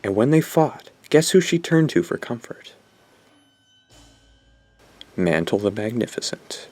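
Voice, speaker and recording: A man's voice: medium pitch, sing-song, unhurried, darkish tone, soft background music. An actor playing a smug, self-absorbed teenage boy in an audio drama, narrating his confession in a pompous, dramatic way. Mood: boastful. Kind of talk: audio drama acting, first-person narration.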